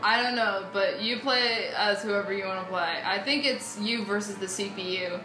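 A woman's voice: mid-range voice, fairly even intonation, moderate pace. A woman chatting casually and playfully with a co-player during a recorded let's play. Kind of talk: casual gameplay chat with a co-player. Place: indoor room, filming a TV screen. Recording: echoey room with game music. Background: video game music.

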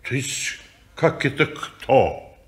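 A man's voice: mid-range voice, big pitch swings, slow. An actor playing a fussy, lecturing old man who owns the house, in a 1950s radio play. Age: older.

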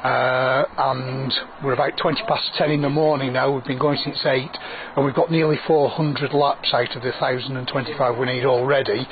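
A man's voice: medium pitch, level tone, normal speed. A thin, phone-like recording of a man in his fifties. He explains things informally as an event organiser outdoors, with crowd chatter behind him. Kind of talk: informal interview. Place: outdoors under canopy by running track.

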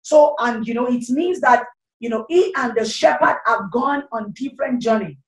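A woman's voice: on the high side, moderate pace, reverberant, distant mic. A middle-aged Nigerian woman evangelist preaching into a handheld mic, fervent and repetitive, with frequent exclamations. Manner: gestures freely while speaking into a handheld mic. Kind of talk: online sermon. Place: small indoor room, seated. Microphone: handheld corded microphone.

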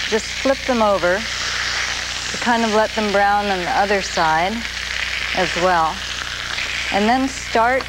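A woman in her forties hosting a TV cooking show, explaining a recipe in a slow, gentle, soft-spoken way from a studio kitchen set. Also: medium pitch. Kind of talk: TV cooking demonstration. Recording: grainy 1980s videotape with noise.

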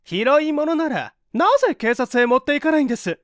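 A scornful landlady in her fifties, acted by a woman for a storybook reading. She is high and shrill, scolding in indignant bursts with big swoops of pitch. Her temper is short and her manner biting. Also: animated, brisk, no room sound, studio quality. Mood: curt, dismissive. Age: 50s. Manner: snapping, scornful tirade.

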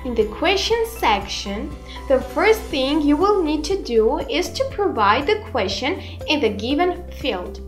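High-pitched young woman presenting, slow pace. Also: big pitch swings. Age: 20s. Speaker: tutorial presenter. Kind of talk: scripted narration, software tutorial. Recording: roomy echo, music behind. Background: background music.

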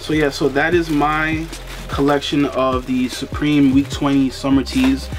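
A young man in a casual unboxing vlog, talking in a relaxed, chatty way with lots of fillers, seated in a small room in front of the camera. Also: medium pitch, measured pace. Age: young adult. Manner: laid-back, chatty, lots of "you know" fillers. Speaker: sneaker and streetwear vlogger. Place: bedroom with sneaker boxes, indoors.

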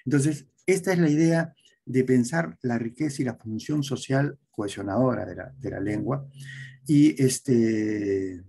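A middle-aged man, giving an academic talk over a video call, pausing often with fillers and tag questions. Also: mid-range voice, creaky, warm tone, breathy edge. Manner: lecturing, with frequent hesitations and tag questions. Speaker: conference speaker. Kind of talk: academic presentation. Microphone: webcam on a video call.

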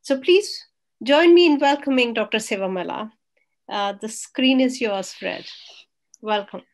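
A woman's voice: fairly high pitch, a little slow. Middle-aged woman moderator, emphatic. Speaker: moderator, professor. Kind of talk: formal speaker introduction. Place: room at home on a video call. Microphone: video call.

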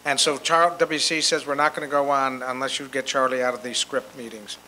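A man's voice: mid-range voice, level tone, a little fast. An American man over sixty telling anecdotes to an audience in a plain, conversational way. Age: 60s or older. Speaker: guest speaker at a convention. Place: hotel meeting room with seated audience. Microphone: handheld microphone. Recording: noisy hotel meeting room.

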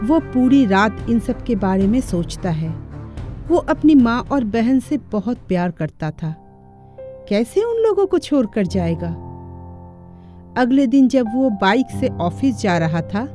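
A woman's voice: medium pitch, dark tone. A woman giving scripted narration for a cartoon moral story.

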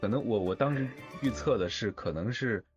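A man's voice: lowish voice, a little fast. A man, a voice actor, chatting casually in a modest, self-deprecating and hesitant manner. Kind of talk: casual group chat. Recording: muffled online voice chat, music behind. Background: background music.

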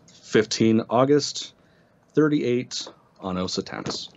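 A man with a medium-pitched voice reads descriptive prose aloud, occasionally tripping on a phrase. The recording is a little muffled and lacks high end. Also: animated, slow, slight background noise. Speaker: co-host reading an essay aloud. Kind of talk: literary essay reading with casual asides. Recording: slightly dull, band-limited audio.

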